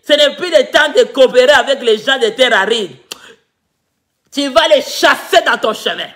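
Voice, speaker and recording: A man preaching a fervent sermon as pastor, breaking into shouts, whose first language is not the one he preaches in. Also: very high-pitched. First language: a Congolese language.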